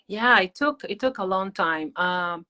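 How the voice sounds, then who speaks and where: A woman's voice: medium pitch, unhurried, sharp tone, lo-fi sound. A woman from Peru with a Peruvian Spanish accent, answering reflectively as a conversational interview guest. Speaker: interview guest, meditation teacher.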